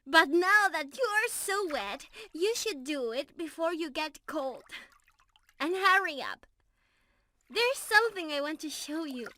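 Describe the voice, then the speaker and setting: A woman's voice: very high-pitched, animated, a little slow, pushed and bright, slight background noise, heavily acted. A woman playing an affectionate, playful young mermaid in love, talking tenderly and giggly to the listener in an ASMR roleplay. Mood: playful, excited. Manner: sweet, giggly, tender, addressing the listener directly. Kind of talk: ASMR roleplay, scripted intimate acting.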